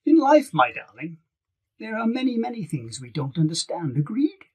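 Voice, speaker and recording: A man's voice: high-pitched, sing-song, slightly rough, exaggerated voice. A man voicing an affectionate old grandfather, firm and reassuring, in an audiobook reading. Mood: earnest, insistent. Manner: reassuring, emphatic, deliberate. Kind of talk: acted dialogue in an audiobook reading.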